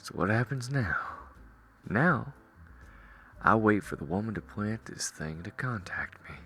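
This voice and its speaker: Man voicing a deep, slow-drawling cowboy gunslinger. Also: animated, a bit bright, slightly rough, full character voice. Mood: wry, teasing. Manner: slow, drawling, wry, reassuring. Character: calm, cocky gunslinging cowboy ranger, teasing but protective. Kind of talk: roleplay audio drama addressed to the listener.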